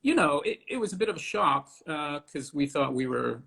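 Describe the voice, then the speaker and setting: A man giving casual interview answers as a theatre director, halting and deliberate in short phrases. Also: a little high, expressive, vocal fry, fairly bright tone.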